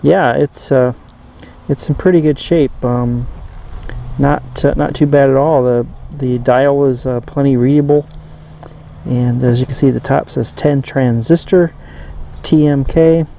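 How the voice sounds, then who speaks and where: A man giving a casual, unscripted demonstration as a reviewer, pausing often and rambling a little as he goes. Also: mid-range voice, steady tone, dark tone. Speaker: vintage radio reviewer. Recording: clear background noise.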